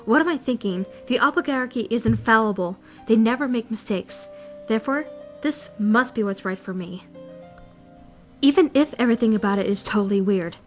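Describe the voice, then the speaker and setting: A woman's voice: fairly high pitch, normal speed, natural voice. Woman playing an anxious, brooding teenage schoolgirl, music behind. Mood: agitated, questioning. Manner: introspective, questioning, self-critical. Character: anxious, brooding fourteen-year-old schoolgirl, self-doubting. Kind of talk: scripted first-person narration in a visual novel fandub.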